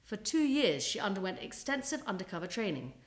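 A woman's voice: mid-range voice, very animated, a little fast. A woman narrating a scripted historical story as a storyteller, with a dramatic, suspenseful delivery.

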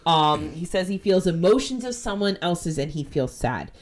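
A woman with a New Jersey accent, hosting a podcast and retelling a ghost-hunting episode in animated, profane casual chat. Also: lowish voice, normal speed, slight background noise. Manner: animated, profane, jumps into quoted voices. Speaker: podcast host.

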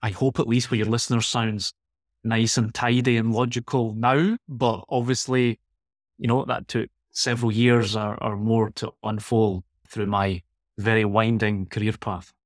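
A man's voice: lowish voice, flat delivery, unhurried, no echo, studio quality. A Scottish man from Glasgow, a guest expert in a conversational interview, speaking thoughtfully and often restarting his phrases. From Scotland.

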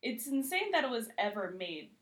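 A woman with a fairly high voice talks as a guest in a casual interview. She is chatty and informal, with self-deprecating humour and lots of "like" in her sentences. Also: sing-song, moderate pace, fairly bright tone. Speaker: podcast guest, TV critic.

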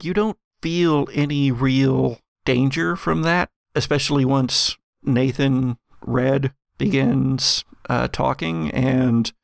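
A man narrating an improvised tabletop roleplaying game as game master, descriptive and halting as he finds his words. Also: medium pitch, fairly even intonation, gravelly, dry recording, clean studio sound.

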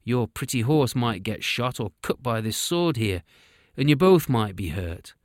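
A man's voice: mid-range voice, animated, unhurried, no room sound, studio quality, natural voice. A man voicing an arrogant, mocking male enemy captain in a storybook reading, drawing out his taunts. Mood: taunting. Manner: taunting, sneering.

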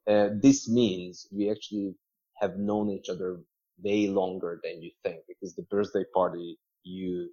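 Low-voiced man on a dull recording. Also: fairly even intonation, a little slow, mellow. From Hungary. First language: Hungarian. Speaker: podcast guest, entrepreneur. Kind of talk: conversational personal storytelling.

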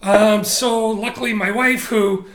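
Bright, high-voiced man telling stories. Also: measured pace, echoey room. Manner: animated, candid, swears casually, frequent restarts. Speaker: interview guest, photographer. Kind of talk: casual conversational storytelling.

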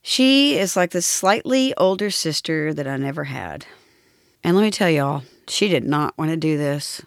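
A woman's voice: low-pitched, big pitch swings, normal speed, dry recording, clean studio sound. A woman hosting a podcast in a casual, chatty style. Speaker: podcast host. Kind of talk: casual chat.

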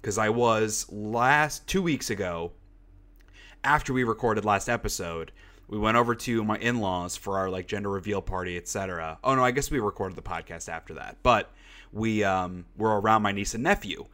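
A man's voice: fairly low pitch, moderate pace, a bit bright. Congested young man hosting a podcast. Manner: rambling, lots of fillers like "like". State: congested with a cold. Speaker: podcast host. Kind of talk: casual chat.

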